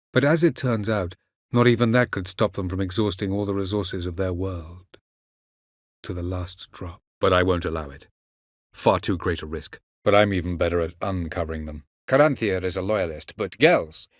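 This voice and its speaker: An actor playing an ancient, aloof elven sage in a video game, speaking in a measured, formal and condescending way. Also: male voice, deep voice, dry recording, clean studio sound. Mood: cool, sardonic. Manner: measured, formal, haughty delivery. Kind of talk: scripted video game dialogue.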